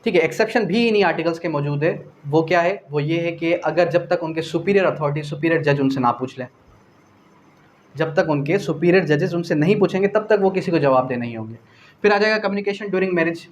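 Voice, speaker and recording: A Pakistani man teaches in a lecturer's manner, his voice pitched a little higher than average. He poses questions, answers them himself and repeats key phrases, slipping in English terms. Some noise sits behind his voice. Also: a little fast. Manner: mixes English legal terms into speech. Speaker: law lecturer. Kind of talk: classroom lecture explaining definitions and articles.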